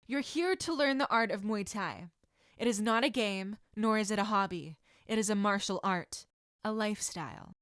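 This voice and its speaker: A woman's voice: mid-range voice, lively intonation, normal speed, a bit bright, smooth, no echo, studio quality, natural voice. A woman voicing a strict, regal martial arts instructor, speaking in a formal, commanding way. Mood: stern, formal.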